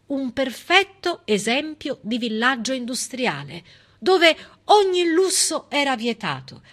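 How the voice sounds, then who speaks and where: A woman delivering a theatrical monologue in an expressive, emphatic way, with conversational asides. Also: high-pitched. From southern Italy. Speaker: stage actress performing a monologue. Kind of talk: theatrical civic monologue, storytelling.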